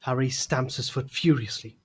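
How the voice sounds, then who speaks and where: A man's voice: mid-range voice, moderate pace, crowd noise. A man reading scripted narration for an audio drama.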